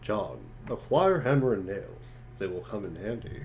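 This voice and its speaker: A man's voice: lowish voice, sing-song, measured pace, slight background noise. A man casually reading short lines and chatting in a group. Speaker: co-reader of command lines.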